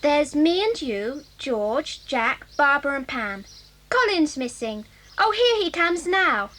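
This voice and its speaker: A woman's voice: sits high, big pitch swings, slow, fairly bright tone, heavily acted. A woman voicing a sensible young girl in an 1980s audio drama, earnest and eager.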